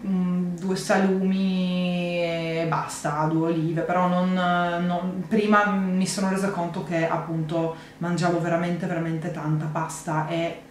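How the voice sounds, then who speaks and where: A woman in her thirties chatting casually to camera as a vlogger, rambling and correcting herself in an animated way. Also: lowish voice. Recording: echoey room, faint noise.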